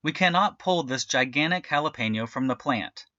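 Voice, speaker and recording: A man's voice: mid-range voice, expressive, moderate pace, sharp tone, no room sound, lo-fi sound. A man voicing a friendly, helpful little dog in a children's story, emphatic and barky. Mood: eager, calling out. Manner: barking out bow-wows, emphatic.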